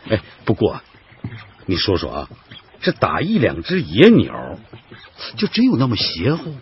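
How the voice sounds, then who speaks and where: Elderly gentleman, radio play, inquisitive, drawn-out phrasing, hugely varied intonation, dull lo-fi sound. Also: on the low side, wildly swooping pitch, a little hiss or hum, full character voice. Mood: curious, doubtful. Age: older. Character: curious, genial old gentleman passenger. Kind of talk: radio drama acting. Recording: muffled lo-fi broadcast with music behind.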